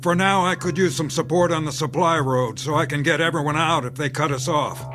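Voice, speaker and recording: A man's voice: a little high, brisk, music in the background, natural voice. An actor playing a stubborn, weary army captain who is protective of his men, in a radio drama. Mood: urgent, insistent.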